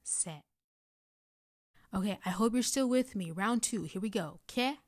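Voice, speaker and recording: Female language tutor, medium pitch, unhurried instructional read with careful enunciation, close and dry recording. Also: expressive, no echo. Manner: clear instructional delivery, carefully enunciating example sounds. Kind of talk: scripted lesson narration, guided pronunciation practice.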